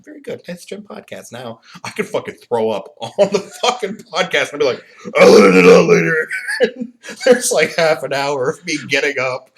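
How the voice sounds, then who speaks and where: A man co-hosting a podcast in casual chat, animated and profane, laughing often. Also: medium pitch. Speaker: podcast co-host.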